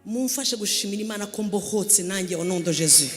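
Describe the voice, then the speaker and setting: A woman from Rwanda, a pastor, preaching with fervour and rising to shouts as she urges the congregation. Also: mid-range voice, animated, fairly quick. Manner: shouting fervently, urging the congregation. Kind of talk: sermon preaching in Kinyarwanda, interpreted. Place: church hall. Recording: echoey hall with music.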